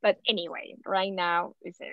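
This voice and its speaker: A middle-aged woman from Colombia, a native Spanish speaker with a Colombian accent, speaking halting English as a guest on a video call, with many restarts. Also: lowish voice, steady tone, no room sound. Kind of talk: casual talk on a video call. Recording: dull, lo-fi call audio.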